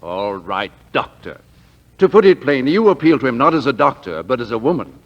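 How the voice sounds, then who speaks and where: An officious, disapproving male lawyer in a 1940s radio drama. He speaks formally and condescendingly, with a lively swing in pitch. The sound is dull and muffled. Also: fairly quick. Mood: condescending, pressing.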